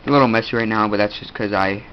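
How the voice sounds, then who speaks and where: A man's voice: on the low side, steady tone. Stuffed-up teenage guy rambling. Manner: rambling, halting, many fillers. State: stuffed-up nose, sniffing. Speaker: video host. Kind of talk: casual gear show-and-tell. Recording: dull lo-fi audio, faint room noise.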